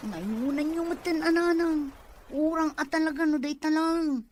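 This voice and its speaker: A woman's voice: high-pitched, moderate pace, slight background noise, natural voice. A woman playing a grumbling young student who helps reluctantly on the farm in an Ilocano radio drama.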